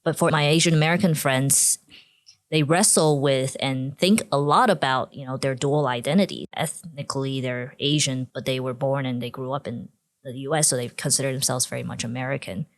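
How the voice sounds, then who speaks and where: A woman's voice: extremely low pitch, steady tone, a little fast, no room sound. A woman from Taiwan, a podcast guest, chatting casually about her student years and often dropping "like" into her sentences. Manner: chatty, frequent "like" fillers, laughs easily.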